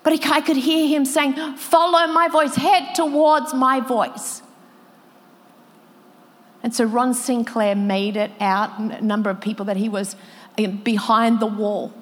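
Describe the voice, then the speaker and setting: Woman pastor, fairly high voice, emotional sermon storytelling, long hall reverb. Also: fairly even intonation, unhurried. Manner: emotional, quoting others with rising intensity.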